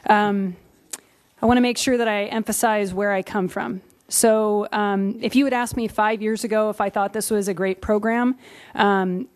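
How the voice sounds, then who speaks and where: A woman giving an earnest public comment into a podium microphone at a community meeting, with frequent pauses and fillers. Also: medium pitch, darkish tone.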